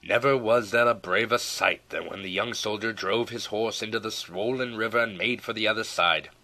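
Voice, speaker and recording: Deep-voiced man narrating a dramatic tale. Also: normal speed, bright, forceful tone. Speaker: audiobook narrator. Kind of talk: dramatic scripted storytelling narration of a historical tale.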